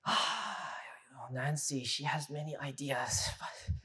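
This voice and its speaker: Hushed confiding congregant, put on by a male pastor, soft and breathy. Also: a little high, takes it slowly. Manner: hushed, half-whispered asides.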